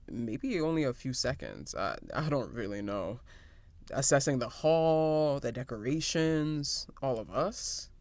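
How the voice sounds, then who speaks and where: A man playing an excitable, shy young partygoer, recounting an eerie encounter in a halting, conversational audio-drama monologue. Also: fairly high pitch, no echo. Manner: animated, halting, dramatic pauses, self-interrupting.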